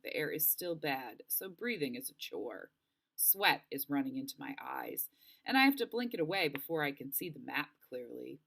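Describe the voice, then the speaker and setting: A woman narrating a children's novel aloud in an expressive storybook style, acting out the dialogue. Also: low-pitched. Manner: animated, acts out dialogue.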